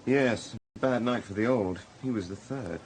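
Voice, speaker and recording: Male actor as British casualty doctor, lowish voice, candid, matter-of-fact, radio drama, light background ambience. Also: measured pace, slight background noise, lightly acted. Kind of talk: naturalistic radio drama acting.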